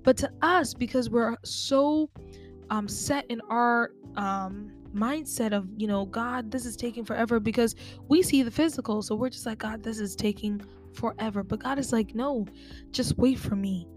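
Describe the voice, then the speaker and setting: A woman's voice: fairly high pitch, normal speed, soft background music. Chatty woman hosting a faith podcast. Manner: chatty, conversational, addresses listeners directly. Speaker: podcast host. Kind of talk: casual faith talk.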